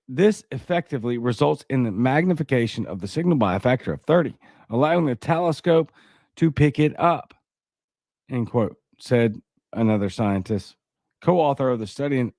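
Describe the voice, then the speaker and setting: A man's voice: medium pitch, darkish tone, dry recording. Skeptical Cajun man on a livestream. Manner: skeptical, rambling, scoffing asides. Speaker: livestream host. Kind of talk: casual livestream, reading news and viewer comments.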